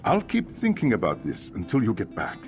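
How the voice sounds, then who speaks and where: Fantasy game innkeeper: creaky mid-pitched voice, hugely varied intonation, chatty, grumbling, curious, soft music behind. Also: male voice, a little fast. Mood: curious, musing. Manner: expressive, musing aloud. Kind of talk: video game character acting.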